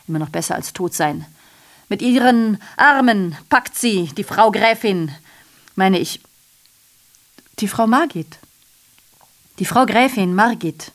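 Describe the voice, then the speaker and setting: A woman's voice: medium pitch, animated, takes it slowly, pauses often. A woman acting a sardonic messenger in a literary radio play, declaiming ironically and constantly correcting herself. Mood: wry, grisly reporting. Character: sardonic female messenger reporting atrocities, digressive and self-correcting. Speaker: radio drama performer. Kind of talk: literary radio play monologue, theatrical narration.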